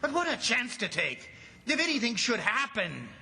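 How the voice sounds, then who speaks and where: An actor playing an anxious commissioner who narrates a radio drama in a grave, theatrical manner. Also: male voice, very high voice, wildly swooping pitch, moderate pace, very noisy, full character voice. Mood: alarmed. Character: anxious government commissioner, narrator recounting the siege.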